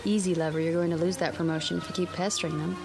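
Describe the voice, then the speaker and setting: A woman's voice: lowish voice, steady tone, rapid-fire, over music, lightly acted. A young woman playing an impatient, wry but affectionate newlywed wife in conversational radio drama dialogue. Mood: encouraging. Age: young adult.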